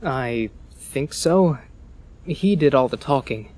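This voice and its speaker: Hesitant, mid-pitched male villager acted by a man. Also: animated, a little mellow. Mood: hesitant, uneasy. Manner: hesitant, halting. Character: uneasy, plain-spoken male villager scavenging in ruins. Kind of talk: fantasy audio drama acting.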